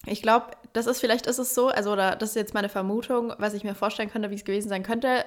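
A woman's voice: medium pitch, steady tone, fast talker. Bavarian woman rambling on a podcast, giggles a lot, lots of "so" and "halt". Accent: light Bavarian. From Bavaria, Germany. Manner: laughs often, fillers like "so" and "halt". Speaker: podcast co-host. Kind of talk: casual chat. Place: at home, neighbors partying nearby. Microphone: separate remote recording, two takes.

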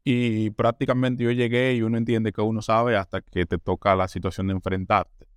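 A man's voice: lowish voice, level tone, normal speed, clear, resonant tone, no echo. A Colombian man talking at length in an interview, often restarting words and acting out what others said. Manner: talkative, restarts words, acts out quoted voices. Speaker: interview guest, newcomer. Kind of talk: casual interview.

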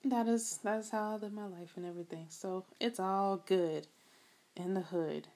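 A woman hosting a solo podcast, talking casually in a soft voice, rambling a bit and chatting straight to her listeners. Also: mid-range voice, steady tone, moderate pace, slight background noise. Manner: rambling, laughs often, addresses listeners as y'all. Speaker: podcast host.

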